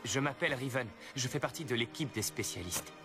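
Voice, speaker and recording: An actor playing a serious, respectful young knight in a cartoon film. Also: male voice, medium pitch, lively intonation, brisk, over music, close to an everyday voice. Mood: earnest, inquiring. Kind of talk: French cartoon film dub.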